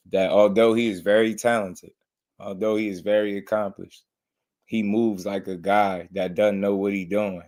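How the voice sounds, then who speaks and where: A man hosting a casual boxing livestream, slightly hoarse, greeting viewers with loose slang before getting into blunt commentary. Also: lowish voice, a little mellow. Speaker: boxing show host.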